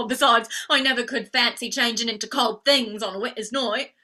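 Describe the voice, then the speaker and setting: A woman's voice: on the high side, a little slow, bright, forceful tone. A woman playing a proud, cheeky young working-class flower girl with a Cockney accent in a staged play reading. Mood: eager, proud. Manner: cheeky, ungrammatical, indignant.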